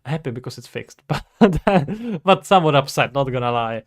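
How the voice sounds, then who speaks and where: A man's voice: mid-range voice, expressive, moderate pace. A man, chatting casually on a livestream as he works and thinking aloud to himself and his viewers. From Czech Republic. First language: Czech. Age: 20s. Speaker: streamer, indie game developer. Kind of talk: casual livestream chat while working. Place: indoors at a computer.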